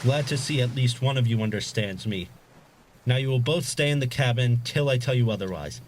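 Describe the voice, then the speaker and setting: A man's voice: medium pitch, fairly quick, lightly acted. Audio drama villain, male actor: cold gunman hijacker, barks clipped orders with menace, mid-range voice, slight background noise. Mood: cold, menacing. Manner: curt, commanding, threatening.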